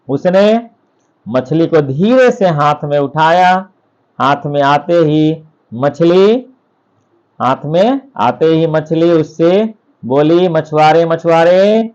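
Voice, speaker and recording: Slow-paced man telling a bedtime story. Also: on the high side, a little mellow, muffled recording. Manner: deliberate, short phrases with pauses. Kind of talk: scripted bedtime story narration.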